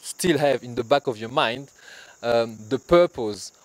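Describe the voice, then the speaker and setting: A man's voice: mid-range voice, a little slow, dry recording, poor audio. A man in his forties from Rwanda, a DJ giving an earnest, reflective talk to workshop students. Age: 40s. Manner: earnest, reflective, speaks in repeated lists. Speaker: DJ leading a workshop.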